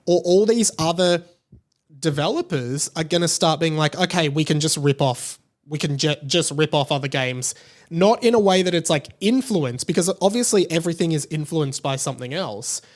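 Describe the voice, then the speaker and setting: Higher-voiced young man hosting a casual podcast. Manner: conversational, emphatic, heavy use of "like". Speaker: podcast host. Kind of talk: casual opinion commentary.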